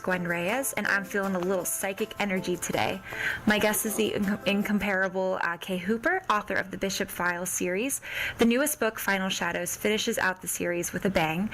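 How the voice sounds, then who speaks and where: A woman's voice: mid-range voice, fairly bright tone, rough recording. A woman hosting a podcast interview in an upbeat, chatty, friendly conversational way.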